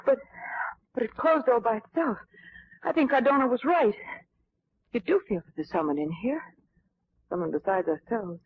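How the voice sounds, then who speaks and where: An inquisitive, jumpy lady companion in an old radio mystery. Her delivery is dramatic and swooping, with a husky breathiness, on a dull, narrow 1940s recording. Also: on the high side, animated, moderate pace, long pauses. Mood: uneasy, curious. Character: inquisitive, easily spooked lady companion to a sleuth.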